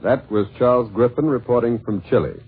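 American man, wartime radio news anchor, medium pitch, very husky, phone-like vintage broadcast sound. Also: brisk. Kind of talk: 1940s radio newscast, scripted. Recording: narrow, rough 1940s radio broadcast.

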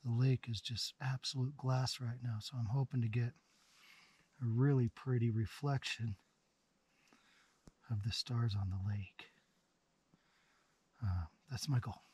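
A man in his thirties vlogging solo from a small tent while camping, talking slowly and sometimes dropping to a whisper. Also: medium pitch, a little hiss or hum. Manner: slow, relaxed, drops to a whisper at night. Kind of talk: casual vlog narration. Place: small tent outdoors in the mountains.

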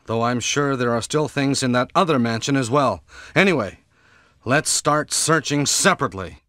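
A man's voice: medium pitch, moderate pace, pushed and bright, no echo, clean studio sound, natural voice. An actor playing a blustery, sheepish male team-mate in a stilted nineties video game. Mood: businesslike.

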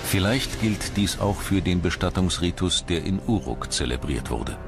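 Very deep-voiced male documentary narrator. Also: lively intonation, moderate pace. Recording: music bed under the voice. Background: music.

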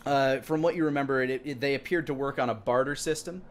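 A man narrating a tabletop roleplaying game as game master, improvising descriptions in a halting, detailed way. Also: medium pitch. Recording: clearly audible background noise.

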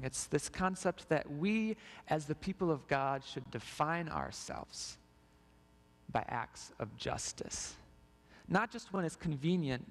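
A young man preaching a sermon, telling the story while walking the stage of a large church sanctuary. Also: on the high side. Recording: long reverb of a church hall.

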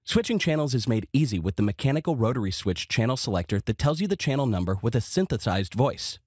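A man's voice: medium pitch, very animated, fast, darkish tone, slightly rough, no echo, clean studio sound. A man reading scripted product review narration with a polished, promotional delivery.

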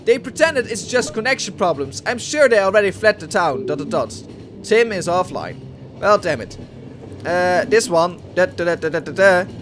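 A man's voice: high-pitched, sing-song, normal speed, over music. A man in his thirties with a Dutch accent, playing a video game on a headset mic at home, thinking aloud and reading game text. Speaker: gaming video host. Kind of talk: let's play commentary. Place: home room at a desk.

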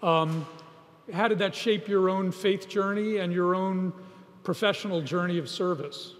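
High-voiced man moderating in a hall. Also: steady tone, unhurried. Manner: hesitant, with "um" before questions. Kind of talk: interview questions. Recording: reverberant hall.

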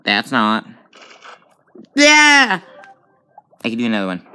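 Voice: medium pitch, huge pitch swings, slow, bright, forceful tone, smooth. A gamer giving casual let's play commentary on a tablet game, reacting aloud with frequent exclamations. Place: indoors at a tablet.

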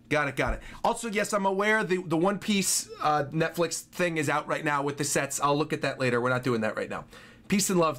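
A man's voice: sits high, a bit bright. A young man giving casual live reaction commentary as a streamer, effusive and often repeating himself. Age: young adult. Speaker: streamer reacting to game trailers. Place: small furnished room at home. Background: game trailer music.

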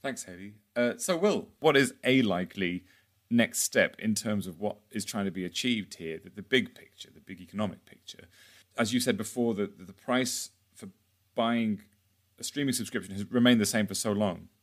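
Man, podcast host, lowish voice, conversational questions. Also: moderate pace. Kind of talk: interviewer questions.

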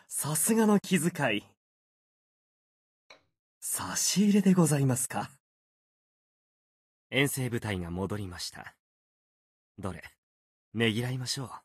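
A man's voice: mid-range voice, breathy edge, dry recording, clean studio sound, full character voice. A man voicing a proud, playful fox-spirit swordsman in a video game, speaking in polite, archaic, theatrical phrases. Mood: polite, calm. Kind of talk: Japanese video game character voice lines.

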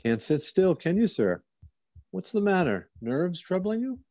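A man playing a mellow, inquisitive policeman. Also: sits high, very animated, a little slow, no echo, exaggerated voice. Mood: curious. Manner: polite, musing, probing. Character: courteous, inquisitive male police officer. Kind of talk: old-time radio theater acting.

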